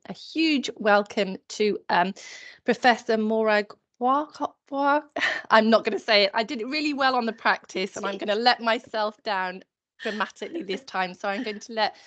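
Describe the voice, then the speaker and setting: A woman introduces an online session and stops often on hesitant fillers. Her voice comes through slightly dull call audio. She speaks in a friendly hosting manner. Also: mid-range voice. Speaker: webinar host, policy lead. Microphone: video call.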